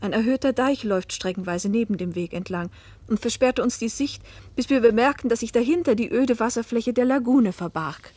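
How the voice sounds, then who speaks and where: An actress plays a pensive middle-aged woman telling her own story in a German radio play. Her voice is husky and sits at a medium pitch. She speaks in a measured, literary way, at times sinking toward a whisper. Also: mellow, no echo, lightly acted. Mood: somber, descriptive. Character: pensive 41-year-old American wife and mother recounting a fateful journey.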